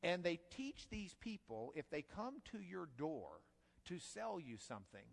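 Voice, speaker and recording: A man's voice: fairly high pitch, sing-song, a little slow, creaky. A man preaching an expository sermon in a conversational, folksy way that builds to emphatic bursts, in a church sanctuary. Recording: long hall reverb.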